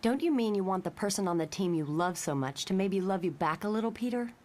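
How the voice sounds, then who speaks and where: A woman acts as a perceptive young superheroine in a motion comic. She speaks frankly and probingly in a medium-pitched voice. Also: lively intonation, fast talker, a little mellow, natural voice. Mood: teasing.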